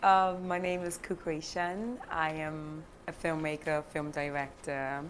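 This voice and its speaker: A woman's voice: low voice, measured pace, a little hiss or hum. A young woman from Ghana, a filmmaker guest, talking easily in a studio interview and laughing often between thoughts. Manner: laughs often, animated with hand gestures. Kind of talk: relaxed interview talk. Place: studio set, seated on sofa.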